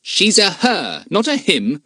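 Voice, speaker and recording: A man's voice: high-pitched, huge pitch swings, measured pace, sharp tone, no room sound, clean studio sound, pitched above the performer's own voice, heavily acted. A male narrator voicing an emphatic, hot-tempered schoolboy. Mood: indignant. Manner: indignant, emphatic.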